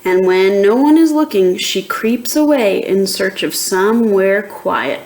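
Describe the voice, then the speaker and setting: A woman reading a children's picture book aloud in an expressive, deliberate teacher's manner, pausing to ask young listeners questions. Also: mid-range voice, slight background noise, poor audio.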